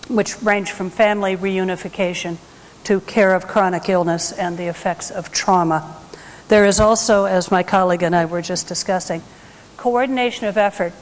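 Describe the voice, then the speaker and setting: Older woman panelist, calm clear points, lapel mic, long reverb in a conference hall. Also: medium pitch, a little hiss or hum. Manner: measured and articulate. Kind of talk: panel discussion remarks. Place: large conference hall stage.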